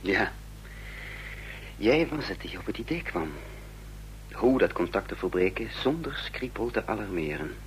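An actor playing a troubled man in love who tells his own espionage story in a measured, dramatic radio drama style. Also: fairly low pitch, big pitch swings, poor audio. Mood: relieved, intense. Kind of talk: Dutch radio drama narration, literary first person. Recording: faint noise and hum, old radio recording.

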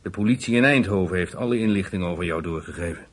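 Husky, stern policeman. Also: low voice, fast talker. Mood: stern, pressing. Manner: clipped, dry, insistent questioning. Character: stern, probing male police officer questioning a boy. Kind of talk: naturalistic radio drama acting.

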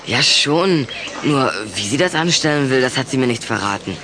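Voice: medium pitch, expressive, moderate pace, background noise, close to an everyday voice. A performer playing a nervous, evasive schoolboy in a German children's radio play, with a hesitant, earnest delivery. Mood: sly, earnest.

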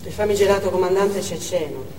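An actress playing a Russian wife in a stage monologue, anxiously telling of her husband in a deliberate, halting way. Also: lowish voice, darkish tone, close to an everyday voice. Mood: tense, pointed. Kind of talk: dramatic theatre monologue. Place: theatre stage, distant microphone. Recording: echoey theatre from far off, faint noise.

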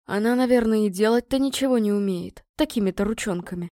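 A scruffy, uncouth beggar man, put on by a woman narrator, speaks in a hoarse bleat. He is cheeky and haggling, as in a storybook, recorded dry. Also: mid-range voice, quick pace, warm tone, no room sound, natural voice. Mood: cheeky, critical.

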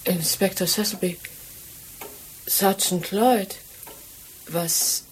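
An actress playing a cornered woman suspect in a German radio crime drama, halting and evasive as she feigns innocence. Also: medium pitch, fairly even intonation, slight background noise, rough recording. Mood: shocked, flustered.

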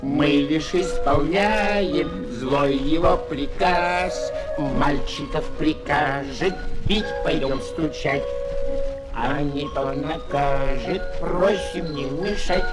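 An actor playing an obedient little hammer-man, singing in a measured way in a children's musical audio play. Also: fairly high pitch, over loud music, rough recording. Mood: dutiful. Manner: singing, measured, marching delivery. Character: obedient, busy little hammer-men who strike bells.

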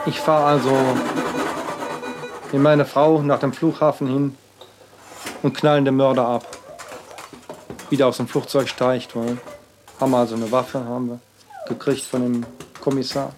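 A man, an artist, telling a rambling personal account in halting speech with frequent fillers and restarts. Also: mid-range voice, little pitch movement, darkish tone, a little hiss or hum.

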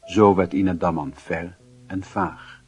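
An actor as a reflective narrator, reading literary narration for a Dutch radio play in a husky voice. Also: male voice, deep voice, fairly even intonation, a little slow, exaggerated voice.

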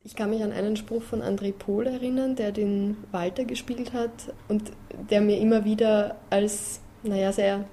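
A woman's voice: medium pitch, steady tone, normal speed, dark tone. A woman recalling past work in a casual, reflective interview style.

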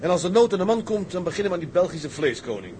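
An actor playing a shrewd, unflappable tugboat captain with sly humour in a Dutch sea drama, measured and dry. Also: male voice, sits high, very animated, slight background noise. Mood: slyly cheerful.

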